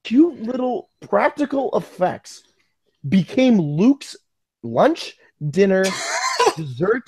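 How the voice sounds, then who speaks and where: An American man co-hosting a casual live fan podcast, talking in an excitable, joking way. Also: sits high, slow pace, breathy edge, dry recording. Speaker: podcast co-host.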